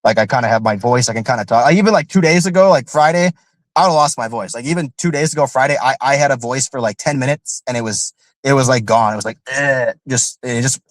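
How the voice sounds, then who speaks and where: A man on a podcast talks in long, meandering runs, packed with filler words and restarts. His voice has a faint nasal tint from a recent illness, and his pitch sits in a middle range. The sound is dry and close, with no room echo. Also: fast talker. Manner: rambling, heavy on filler "like". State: recovering from illness, slightly nasal. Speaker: returning co-host. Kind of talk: casual podcast chat.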